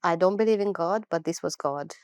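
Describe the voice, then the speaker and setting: A middle-aged woman with a Hungarian accent speaks in an earnest, measured way, pausing to gather her thoughts. Her voice sounds smooth and clear, pitched slightly low, in a dry, close-miked sound. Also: a little mellow, dry recording. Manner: earnest, unhurried storytelling with thoughtful pauses and restarts. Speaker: guest. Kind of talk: personal life story in an interview.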